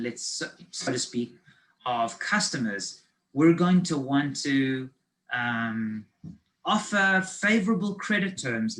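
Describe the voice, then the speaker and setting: A man with a South African accent giving a live online presentation on business finance. He explains in a conversational way and fills pauses with ums and restarts. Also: fairly high pitch, a bit bright, poor audio.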